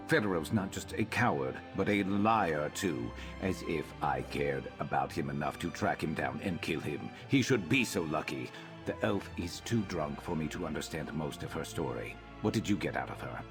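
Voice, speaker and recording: An actor playing a gruff, sardonic lizard-man mercenary in a fantasy video game, with a dry, deadpan delivery. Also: extremely low pitch. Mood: scornful, brooding. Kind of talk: video game character dialogue.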